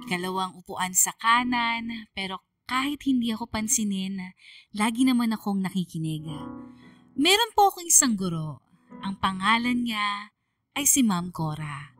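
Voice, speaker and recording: A woman voicing a quiet, grateful senior high schoolgirl who tells her story on radio, earnest and reflective, with a Filipino accent. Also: animated, normal speed, far from the mic, lightly acted. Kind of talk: dramatized first-person radio storytelling. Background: music.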